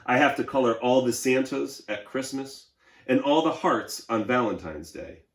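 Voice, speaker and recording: A man voicing an overworked, worn-out red crayon from a storybook, complaining in an emphatic, drawn-out, pleading way. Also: medium pitch, voice pushed up, natural voice. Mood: exasperated, weary. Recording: roomy, echoing indoor sound.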